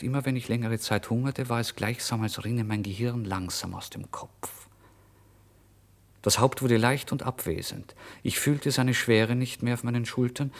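An actor playing a tormented, starving man, bitter and brooding, in a deliberate, theatrical radio-play monologue. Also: mid-range voice, close to an everyday voice. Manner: brooding, deliberate, theatrical, swinging between murmur and outburst. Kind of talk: dramatic first-person literary monologue for radio play.

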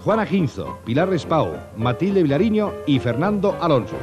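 A man's voice: on the high side, sing-song, moderate pace, highs cut off. A man announcing formally in an old-time radio style. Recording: old radio recording, loud music.

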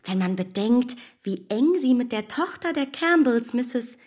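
A young actress voicing a poised gentlewoman who speaks politely, with a cool, slightly condescending manner, in a radio play. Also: on the high side, animated, measured pace.

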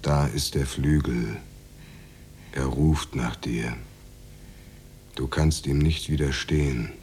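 An actor in a radio drama playing a menacing, coaxing Soviet official who speaks in a deliberate, insinuating way. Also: male voice, a bass voice, darkish tone, a little hiss or hum, heavily acted. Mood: coaxing menace.

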